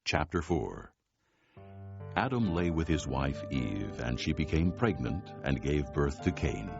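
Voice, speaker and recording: An extremely deep male voice narrates scripture with solemn deliberation and wide, expressive intonation. The recording is slightly dull, with loud music underneath. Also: a little slow, creaky. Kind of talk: dramatized audiobook scripture narration. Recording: dull band-limited recording.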